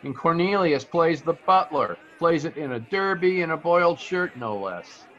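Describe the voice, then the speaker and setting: An actor playing an amused, chatty informant in 1940s radio drama, slangy and a little hesitant. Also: male voice, on the high side, animated, moderate pace, fairly bright tone, dull audio.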